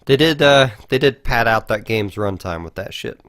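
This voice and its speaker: A man co-hosting a podcast, casually discussing video games and haltingly thinking aloud, with some swearing. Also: on the low side, animated, fairly bright tone, no echo, clean studio sound. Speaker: podcast host.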